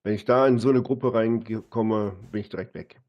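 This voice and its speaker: A man's voice: lowish voice, steady tone, moderate pace, highs cut off. A man chatting casually with a gaming partner in a conversational way, often ending phrases with a short tag question. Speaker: gaming partner on a live stream. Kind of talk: casual chat while gaming.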